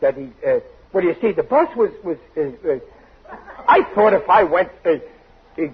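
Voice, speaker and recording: An actor playing a bumbling, soft-hearted working-class father who blusters and stammers excuses in a 1940s radio comedy. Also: high-pitched, very animated, moderate pace. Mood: sheepish, muddled. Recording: tinny, noisy 1940s radio recording.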